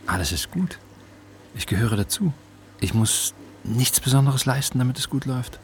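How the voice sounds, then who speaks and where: A man's voice: medium pitch, expressive, moderate pace, creaky. An actor playing a self-doubting, bitter father who narrates his life as an introspective confession in a radio drama. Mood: relieved, tender. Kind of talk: radio drama first-person monologue.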